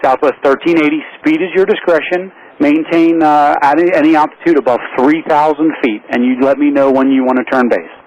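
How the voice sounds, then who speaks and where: Fast-talking male air traffic controller on radio. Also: fairly high pitch, dull audio. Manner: calm, accommodating, businesslike. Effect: aviation radio. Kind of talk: rapid radio instructions to pilots.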